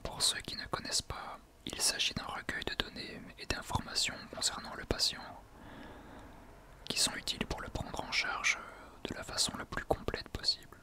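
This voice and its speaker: Man whispering softly, ASMR style. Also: normal speed. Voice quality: breathy, unvoiced whisper. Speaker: ASMR video creator, host. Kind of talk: whispered ASMR talk to listeners.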